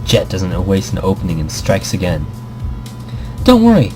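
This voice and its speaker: A man's voice: sits low, fairly even intonation, moderate pace, a little mellow. A man hosting a let's play, reading a visual novel aloud and commenting informally, stumbling here and there, with music behind. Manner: informal, self-deprecating, stumbles over reading. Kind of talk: story narration with casual commentary.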